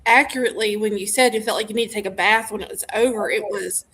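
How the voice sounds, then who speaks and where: A woman's voice: medium pitch, steady tone, fast, sharp tone. A woman co-hosting a review show, discussing films in a casual, conversational style. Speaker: film review show co-host.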